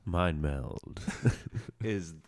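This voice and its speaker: Laid-back low-voiced male podcast host. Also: very slow, a little hiss or hum. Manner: laid-back, musing, trails off into low mutters. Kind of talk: casual podcast conversation. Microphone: studio podcast mic.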